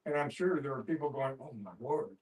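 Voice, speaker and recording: An older man talking in a halting, emphatic, folksy way in a casual group discussion. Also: medium pitch, a little mellow, far from the mic, rough recording.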